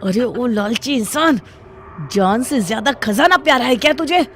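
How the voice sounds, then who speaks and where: A woman's voice: on the high side, expressive, moderate pace, slight background noise, lightly acted. A woman voicing a scary, taunting witch in a horror storybook tale. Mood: menacing. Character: scary female witch scolding greedy humans from a tree.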